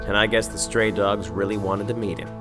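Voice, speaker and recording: An actor playing an embedded war reporter, narrating a military campaign in a grave, reflective way. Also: male voice, lowish voice, steady tone, fairly quick, natural voice. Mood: grave, wry. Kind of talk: scripted documentary-like war narration. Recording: music behind the voice.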